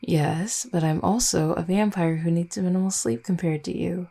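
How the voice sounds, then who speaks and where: A woman's voice: on the low side, fairly even intonation, normal speed, vocal fry, warm tone, slightly rough. A woman playing an affectionate, teasing vampire girlfriend in an intimate roleplay audio, speaking in a tender, conversational way.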